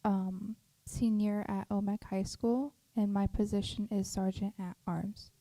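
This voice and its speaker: A woman's voice: mid-range voice, flat delivery, a little slow, dark tone, no room sound, poor audio. An eighteen-year-old woman, a student officer, giving a formal self-introduction. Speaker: high school senior, youth council officer.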